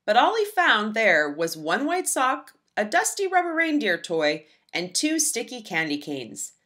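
A woman reading a children's storybook aloud with expressive, dramatic emphasis. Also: fairly high pitch, measured pace. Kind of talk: expressive scripted read-aloud narration for young children.

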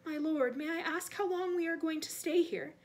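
A woman voicing a nervous, cowardly male servant in an audiobook, squeaky, timid and pleading as he fawns on his master. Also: moderate pace. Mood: nervous, deferential.

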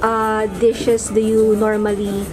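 A woman's voice: a little high, monotone, slow, music in the background. A woman asking questions in a casual on-location interview. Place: indoors, restaurant. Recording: clear restaurant crowd noise. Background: restaurant crowd.

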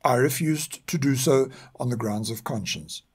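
An older man reads scripted historical narration in a measured, formal and serious way. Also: medium pitch, slightly rough. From South Africa. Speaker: narrator and podcast author.

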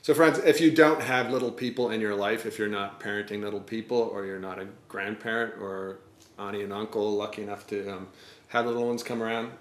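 A man's voice: on the low side, steady tone, brisk. A middle-aged man chatting casually about children's books as a co-host. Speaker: co-host, parent and author. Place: living room couch, indoors. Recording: roomy indoor echo.

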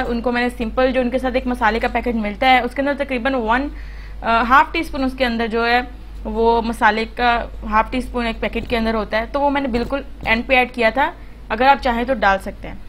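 A woman talks through a home recipe in a chatty, instructive voice-over, dropping in plenty of fillers as she goes. Her voice sits a little high. A faint hum runs under the recording. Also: a bit bright. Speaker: cooking channel host. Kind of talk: voice-over cooking tutorial.

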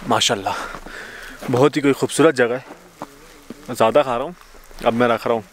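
A young man vlogging casually outdoors on a snowy mountain road, chatty and excitable, talking to a camera held at arm's length. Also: medium pitch, normal speed. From Pakistan. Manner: chatty, excitable, laughs often. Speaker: travel vlogger. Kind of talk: casual travel vlog. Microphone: handheld camera at arm's length. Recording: outdoor noise, wind and vehicles.

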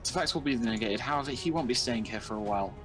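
A man's voice: medium pitch, racing, fairly bright tone, soft background music. Young man in casual card-game chat. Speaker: player in a card-game roleplay. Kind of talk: casual gameplay roleplay chat.

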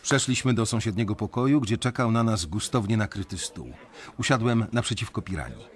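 An actor playing an uneasy young banker who narrates a radio drama in a measured, reflective way. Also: male voice, on the low side, lively intonation, noisy background, close to an everyday voice. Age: young adult.

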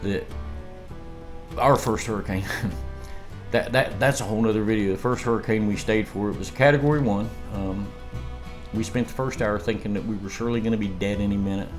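Man, 50s, homestead vlogger, low voice, loose rambling chat, room camera, soft music bed. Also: steady tone, brisk. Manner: rambling storyteller with laughs and restarts. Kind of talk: casual chat. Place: home dining room, indoors. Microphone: camera across the table.

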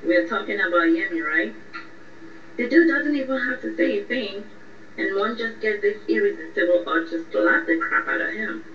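A woman's voice: mid-range voice, lively intonation, moderate pace, close to an everyday voice. A woman playing a blunt, sarcastic young best friend in a radio drama, teasing and exasperated. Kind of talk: radio drama phone conversation. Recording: muffled, echoey, distant, faint noise.

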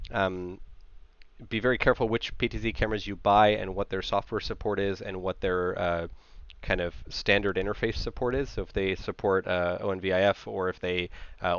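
A man, around his thirties, gives an informal technical slide presentation into a headset, explaining haltingly with frequent filled pauses. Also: low voice, clear, resonant tone, no room sound, highs cut off. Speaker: conference presenter.

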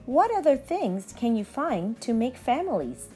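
A young woman reads aloud to children like a teacher. Her delivery is slow and carefully enunciated, with lively swings in pitch. Soft music plays behind her.

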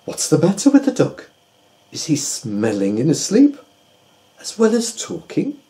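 A man's voice: fairly high pitch, huge pitch swings, measured pace, warm tone, pitched above the performer's own voice, exaggerated voice. A man voicing a kindly, fussy old male doctor in a storybook, exclaiming in a raised voice. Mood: puzzled.

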